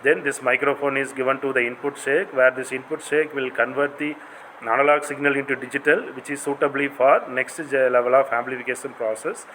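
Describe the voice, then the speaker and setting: A man's voice: medium pitch, fairly even intonation, brisk, fairly bright tone, slight background noise, poor audio. A man with an Indian accent giving a didactic, explanatory lecture as a college teacher, in non-native English phrasing.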